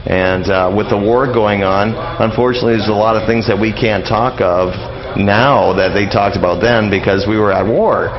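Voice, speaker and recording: Rambling low-voiced man, dull lo-fi sound. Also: steady tone, normal speed, few pauses. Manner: rambling, informal, explanatory. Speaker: guest interviewee, old-time radio enthusiast. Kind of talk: casual radio interview conversation. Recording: dull lo-fi radio audio, crowd murmur.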